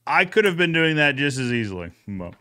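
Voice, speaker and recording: A man's voice: a little high, big pitch swings, brisk, pushed and bright, clean tone. A man hosting a podcast recap in a casual, folksy, conversational way. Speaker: host of a podcast recap show.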